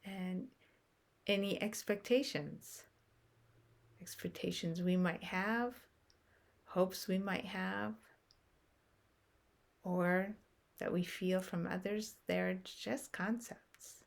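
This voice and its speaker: Slow, gravelly-voiced woman guiding meditation. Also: on the low side, fairly even intonation. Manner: soft, unhurried, long pauses between phrases. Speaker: meditation guide. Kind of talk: guided meditation.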